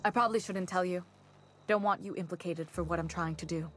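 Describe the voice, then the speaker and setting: A woman's voice: on the low side, steady tone, fairly quick, natural voice. Woman acting as folksy, scheming company actuary, music behind. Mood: confiding, insistent. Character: guarded, scheming female company actuary in a frontier town. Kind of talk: video game dialogue acting.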